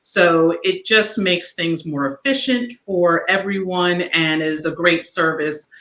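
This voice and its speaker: A woman's voice: fairly low pitch, slow, a bit bright. A woman co-host chatting casually on a live stream, with lots of fillers like "you know.". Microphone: video call. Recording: echoey room.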